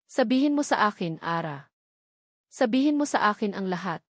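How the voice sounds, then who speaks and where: Woman voicing a calm, firm, caring man. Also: mid-range voice, normal speed, no echo, studio quality, natural voice. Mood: gentle, reassuring. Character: steady, caring, serious man in love story. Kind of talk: dramatic storytelling dialogue.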